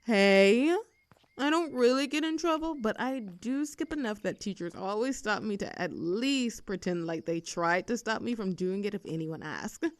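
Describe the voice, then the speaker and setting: A woman's voice: mid-range voice, animated, moderate pace, no echo, natural voice. A young woman playing a rebellious teenage girl bully in an audio roleplay, with a sarcastic, teasing, brash manner. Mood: cocky teasing. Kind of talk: scripted roleplay audio drama.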